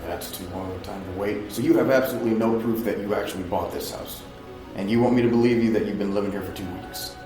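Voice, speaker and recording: A man's voice: lowish voice, fast talker, natural voice. A man playing a brusque, suspicious small-town police chief in an audio drama, pressing with curt, blunt questions. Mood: suspicious, demanding. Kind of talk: audio drama acting, interrogating. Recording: echoey room, loud music underneath.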